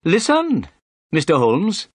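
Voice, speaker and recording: A man's voice: sits high, big pitch swings, unhurried, dry recording, clean studio sound, pitched above the performer's own voice, heavily acted. A man voicing a pompous, impatient fat police inspector in an audiobook story, self-important and insistent.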